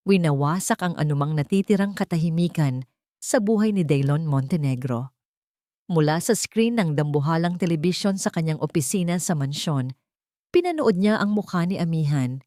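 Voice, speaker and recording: Low-voiced woman narrating drama, dry sound. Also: warm tone, no room sound. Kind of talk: dramatic scripted storytelling.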